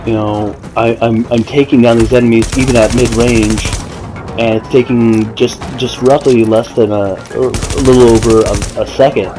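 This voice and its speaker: A man giving casual gameplay commentary, explaining things in a hesitant, self-correcting way. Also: medium pitch. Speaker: gaming video maker. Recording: voice over faint game music and gunfire.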